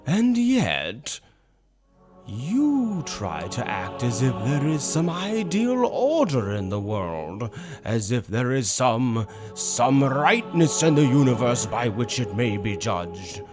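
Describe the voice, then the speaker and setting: A man voicing a calm, seductive demon tempter, a philosophical villain who speaks in deliberate, persuasive, theatrical phrases. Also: mid-range voice. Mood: forceful, challenging. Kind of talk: dark fantasy audio roleplay monologue, ASMR. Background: music.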